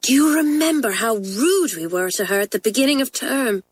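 A frank schoolgirl chatting in a children's storybook, played by a woman narrator. She is breezy and emphatic, with an animated pitch. The recording is close and dry. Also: normal speed, husky, dry recording. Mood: rueful amazement. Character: frank, chatty schoolgirl twin, candid and exclamatory.

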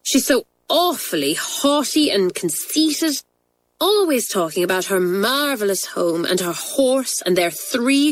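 A woman's voice: high voice, voice pushed up, rough recording. A woman reading a children's storybook, voicing a frank, chatty schoolgirl with a breezy, emphatic manner. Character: frank, chatty schoolgirl twin, candid and exclamatory.

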